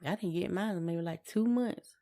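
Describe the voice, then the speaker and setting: Woman, American accent, slightly low voice, informal co-host chat, emphatic repetition. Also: normal speed, mellow. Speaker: podcast co-host. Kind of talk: casual podcast chat.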